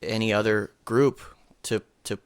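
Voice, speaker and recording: A man's voice: fairly low pitch, fairly even intonation, a little slow. A man hosting a podcast, asking questions and commenting in a conversational style. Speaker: podcast host.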